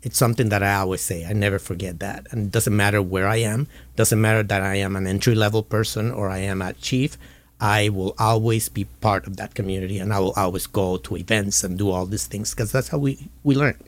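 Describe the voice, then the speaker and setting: A man's voice: on the low side, fairly even intonation, brisk. A man in his forties from Puerto Rico, a nonprofit officer, speaking earnestly in a casual interview and often repeating words as he starts his sentences. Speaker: guest, nonprofit development and communications officer.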